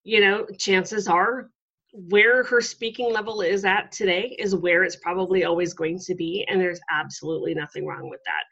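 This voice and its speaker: A middle-aged woman hosting an interview, talking in a relaxed, reflective way with lots of ums and you-knows. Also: lowish voice, normal speed, slightly rough. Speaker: podcast host. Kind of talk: conversational interview. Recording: dull lo-fi audio.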